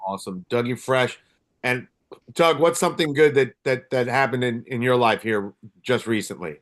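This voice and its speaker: A man's voice: medium pitch, normal speed, fairly bright tone. A man hosting a podcast in a casual, slangy way, trading teasing banter with friends. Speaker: podcast host.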